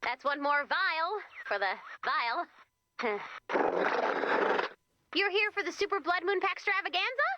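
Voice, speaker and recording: A woman's voice: very high voice, expressive, measured pace, pauses often, lo-fi sound, heavy background noise. A woman voicing a quirky, chirpy and playful commander character in a video game. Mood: playful, doting.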